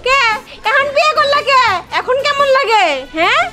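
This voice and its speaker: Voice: extremely high pitch, moderate pace, music in the background. A performer voicing a nagging older mother in a comic cartoon, scolding and lamenting with a colloquial Bangladeshi accent. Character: nagging older mother-in-law, shrill and complaining.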